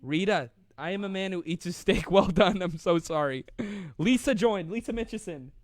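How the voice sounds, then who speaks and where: A man's voice: sits high, moderate pace. Playful young man hosting a live stream. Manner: rambling, playful, laughs often, reads out viewer comments. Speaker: host of a live online show. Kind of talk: casual live-stream chat.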